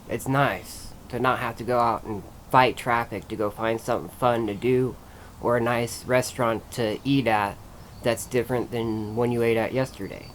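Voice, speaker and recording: A man with an average-pitched voice talks as a vlogger giving a casual review. His delivery is plain-spoken and geared to recommending. Faint ambient noise sits behind his voice.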